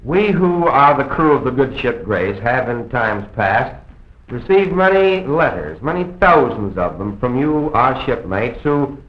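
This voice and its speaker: A man giving a formal scripted welcome as a radio host, with courteous, measured phrasing in a mid-century broadcast manner. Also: medium pitch, big pitch swings. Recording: echoey, dull old film soundtrack.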